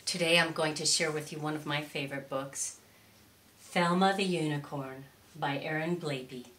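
A middle-aged woman reading a children's storybook expressively, with deliberate, theatrical emphasis in a roomy space. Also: deep voice, steady tone, a bit bright, a little hiss or hum. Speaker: storytime reader. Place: indoors, curtained room or small stage. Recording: roomy echo on voice.

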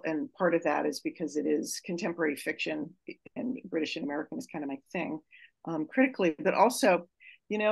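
A woman speaks over a video call, searching for words and filling gaps with soft hesitations. Her voice has a gravelly fry and sits close to the mic in a dry space. The sound is plain webcam audio. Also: low voice, dry recording, low-quality recording. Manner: thoughtful, halting with many fillers. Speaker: novelist panelist. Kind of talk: casual panel discussion.

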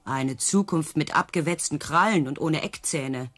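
An actress playing a disillusioned, sarcastic circus trick rider in radio play dialogue, with a husky voice. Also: female voice, deep voice, normal speed, no echo, faint music behind. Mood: scornful, needling. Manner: sarcastic, needling.

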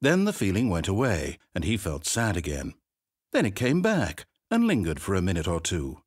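An actor voicing a game's male narrator, speaking in a measured, wry and theatrical way. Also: on the low side, slightly rough, dry recording, full character voice. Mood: gushing. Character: dry, wry male storybook narrator of a game. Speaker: game narrator. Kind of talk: scripted narration. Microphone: studio microphone. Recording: dry close studio recording.

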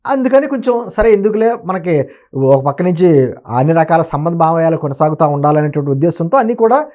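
A man's voice: a little high, animated, fairly quick, darkish tone. A man giving opinionated political commentary as an interview guest, emphatic and argumentative, often posing rhetorical questions.

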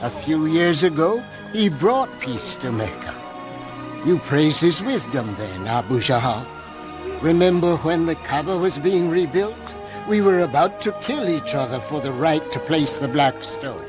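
A man playing a dignified, loyal old Arabian clan elder in an animated film, grave and measured. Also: fairly high pitch, music in the background. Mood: firm, conciliatory.

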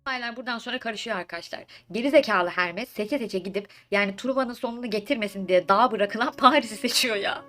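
A woman's voice: on the high side, fast, few pauses, fairly bright tone. A woman telling stories as a comedic host, chatty and gossipy, with sarcastic, mock-indignant asides and theatrical rhetorical questions in casual slang. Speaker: storyteller host of a comedic mythology series. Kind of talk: comedic casual storytelling, retelling myths in slang. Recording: voice over background music. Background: music.

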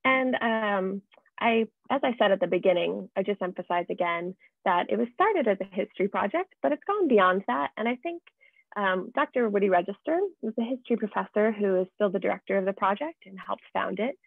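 Young American woman, presenter on a video call, creaky voice, dull narrow audio, informal and explanatory. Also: mid-range voice, expressive, a little fast, dry recording. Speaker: project presenter. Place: indoors at home, on video call. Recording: muffled, band-limited video call audio.

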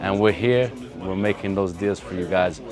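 A man's voice: low voice, normal speed. A man in his thirties hosting a casual, hyped walkthrough tour of a factory, speaking in laid-back street slang. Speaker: vlog host touring a wheel factory. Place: large indoor factory floor. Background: background music.

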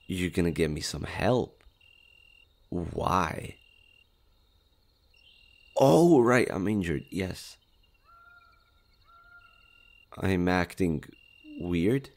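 A shy skinwalker monster, played by a man, with a low male voice and slow, hesitant speech. He stammers and gets flustered, a friendly, nervous creature in an intimate audio roleplay. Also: lively intonation, creaky, full character voice. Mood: nervous, awkward.

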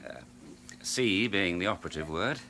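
An actor playing a cold, patronising doctor in a radio drama, clinical and condescending. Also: male voice, sits low, expressive, moderate pace, pushed and bright, slight background noise, exaggerated voice. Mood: smoothly patronising. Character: cold, patronising male doctor running a brutal experiment.

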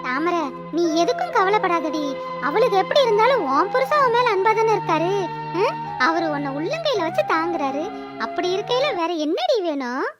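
A woman's voice: very high-pitched, very animated, normal speed, loud music behind the voice. A woman voicing a blunt, feisty village friend in a cartoon. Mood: coaxing. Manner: blunt, colourful scolding and coaxing. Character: feisty, scolding village elder-sister friend. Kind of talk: cartoon voice-over dialogue.

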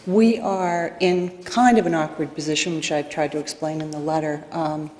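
A middle-aged woman makes a request at a public meeting. Her voice is very low for a woman. The room is strongly echoey, with faint noise behind her. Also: steady tone, moderate pace, a little mellow. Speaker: committee secretary making a request. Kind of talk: prepared public address. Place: large indoor meeting room, at podium.

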